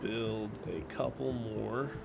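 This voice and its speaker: A man's voice: on the low side, fairly even intonation, takes it slowly, creaky, background noise. A young man with a headset giving casual commentary on a city-building game he plays, sniffling a little from a cold. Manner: chatty, talks to himself while building. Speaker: gaming streamer. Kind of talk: casual let's-play commentary. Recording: game music playing behind.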